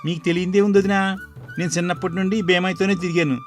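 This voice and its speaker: A man's voice: high-pitched, level tone, quick pace, faint music behind, pitched above the performer's own voice, close to an everyday voice. A man voicing a village cook's assistant, a plain-spoken underling, in a cartoon moral story. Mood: eager, friendly.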